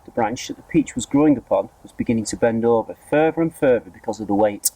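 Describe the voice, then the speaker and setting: Animated, slightly husky male storyteller. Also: mid-range voice, quick pace, no room sound, rough recording. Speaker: storybook reader, school staff member. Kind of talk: expressive scripted children's story narration.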